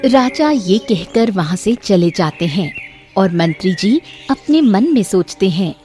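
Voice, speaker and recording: A woman narrates a folk tale in a scripted storytelling style. Her voice sits at an average female pitch, with light music playing underneath. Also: lively intonation, measured pace, warm tone.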